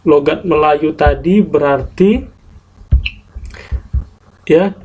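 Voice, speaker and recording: Slow-speaking male lecturer teaching online. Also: on the high side, darkish tone, slight background noise, rough recording. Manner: slow, explains step by step, mixes English examples into Indonesian. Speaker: English translation lecturer.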